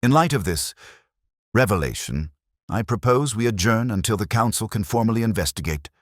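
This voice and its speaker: A man's voice: on the low side, lively intonation, fairly quick, no room sound, clean studio sound, pitched above the performer's own voice. A man voicing an evasive, stiffly formal town mayor in a story. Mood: uneasy.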